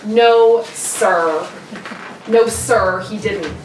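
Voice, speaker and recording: A woman playing a hesitant, rambling young hotel clerk in an amateur radio drama. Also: medium pitch. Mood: hesitant, apologetic. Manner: halting, says "like" often. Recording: distant echoey room, noisy.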